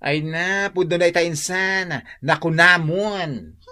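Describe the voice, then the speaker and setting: A woman's voice: fairly low pitch, slow, pushed and bright. An actress playing an outspoken woman character in a radio drama. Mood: sympathetic.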